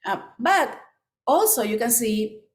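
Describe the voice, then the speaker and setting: A middle-aged woman children's author from Peru, with a Peruvian Spanish accent, giving a presentation with many pauses and restarts. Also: fairly high pitch, animated. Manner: frequent ums and restarts, earnest. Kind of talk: presentation talk.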